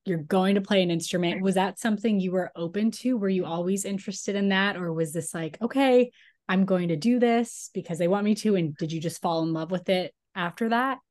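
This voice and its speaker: A woman's voice: mid-range voice, fairly quick, a little mellow. A woman hosting a podcast, reading an introduction and then asking interview questions in an upbeat way. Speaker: podcast host. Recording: faint music behind.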